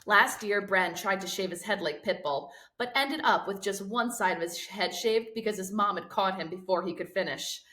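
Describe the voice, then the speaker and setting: A woman narrates a children's novel in an expressive read-aloud style. Her voice sits at a middle pitch, and the recording has a clearly roomy, echoing sound. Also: normal speed, hardly any pauses.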